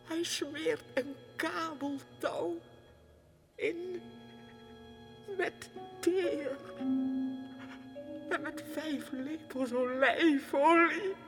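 An actor playing a deranged clerk who believes he is a king, in an erratic radio drama monologue that swings between breathy hushed confiding and agitated outbursts. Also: very high voice, fairly even intonation, very slow, pauses often, music in the background, full character voice. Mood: frantic, wailing. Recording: reverberant 1960s radio studio recording.

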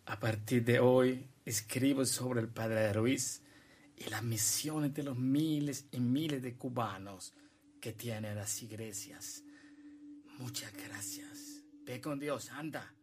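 An actor playing an inspired Jesuit priest and historian in a radio drama, deliberate and confiding. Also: male voice, medium pitch, darkish tone, a little hiss or hum, close to an everyday voice. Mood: grateful, confiding.

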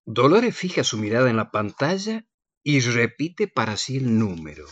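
A woman's voice: rumbling low, very animated, moderate pace, dry recording, muffled recording. A woman narrating the action of a radio play from a script.

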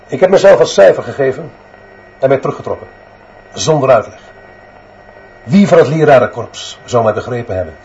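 Actor as stern, disillusioned headmaster, muffled sound. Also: male voice, mid-range voice, lively intonation, fairly quick, long pauses, a little mellow, natural voice. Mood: bitter, resigned. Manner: grave, clipped, emphatic declarations. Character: stern, disillusioned male headmaster confessing his failure. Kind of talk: radio drama monologue. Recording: dull muffled sound, faint background noise.

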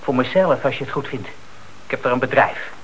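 An actor playing a defensive, blustering small-time crook with a streetwise, indignant manner in a radio play. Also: male voice, medium pitch, brisk, slight background noise, lightly acted, poor audio. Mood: surly defiance.

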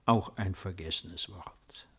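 An aging male ruler of Rome, weary and melancholy. He speaks in a low register at a very slow, measured pace. The delivery is solemn and declamatory, commanding yet resigned, in classic fifties radio play manner. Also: vocal fry, husky, heavily acted. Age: older. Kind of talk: 1950s German radio drama acting.

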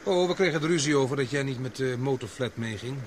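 A man's voice: mid-range voice, fast, slight background noise, natural voice. An actor playing a capable, watchful expedition man in a Dutch radio drama. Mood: tender, confiding.

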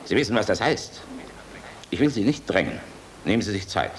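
An actor in a German radio play, playing a middle-aged air force general, weary and decent, measured and frank with dry irony. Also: male voice, on the low side, very animated. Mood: earnest, grave. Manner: measured, frank, dryly ironic. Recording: noisy radio play recording.

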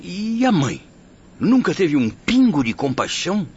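Indignant, wildly swooping Brazilian listener. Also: male voice, sits high, sing-song, measured pace, a little mellow, breathy edge, heavily acted. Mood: appalled. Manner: exclamatory, aghast questioning. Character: indignant, emotional male listener appalled by cruelty. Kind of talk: melodramatic radio drama acting. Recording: dull lo-fi, faint background noise.

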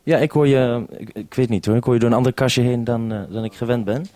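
A radio man speaks in a soft-edged, mellow voice, recorded very dry. He handles a two-way link in a hesitant, considerate way, ending his turns with formal handovers. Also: medium pitch, steady tone, fast, dry recording. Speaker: radio programme maker.

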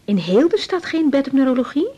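A woman playing a young, harried doctor in a radio drama, expressive and full of questions, emotional and insistent. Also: high-pitched, a little fast, muffled recording. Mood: businesslike, insistent. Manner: expressive, questioning, stammers when upset. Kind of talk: 1980s radio drama acting.

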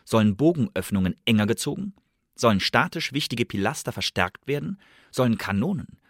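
An actor playing a guarded secret agent posing as a student, giving measured, formal first-person reports in a radio drama. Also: male voice, lowish voice, no echo, studio quality. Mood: businesslike. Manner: measured, formal, precise, deliberate phrasing.

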